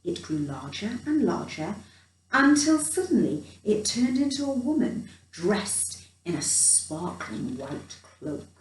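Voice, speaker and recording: Echoey, animated woman reading a children's story. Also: medium pitch, takes it slowly. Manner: theatrical, dramatic emphasis, chatty asides to young listeners. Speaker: children's storyteller in character as a Christmas elf. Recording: roomy echo, distant from the mic.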